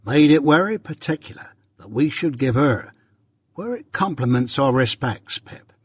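A man voicing a simple, kindly country working man who speaks slowly and haltingly with rustic grammar in a storybook. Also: medium pitch, lively intonation, husky, dry recording.

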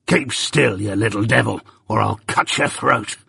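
Guy putting on a growly scary convict, rough and husky, barks orders, dry close sound. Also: on the low side, unhurried, bright, forceful tone, dry recording, full character voice. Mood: threatening. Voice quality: growling, gruff. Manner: menacing, barking orders. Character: fearsome escaped male convict, rough and threatening.

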